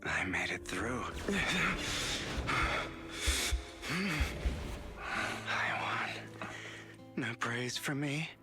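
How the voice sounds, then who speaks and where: An actor playing a sly, smug young trickster in a video game, speaking with teasing mock politeness and theatrical flair. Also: male voice, medium pitch, sing-song, very slow, whispered. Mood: breathy triumph. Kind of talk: dramatic video game acting. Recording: game music underneath.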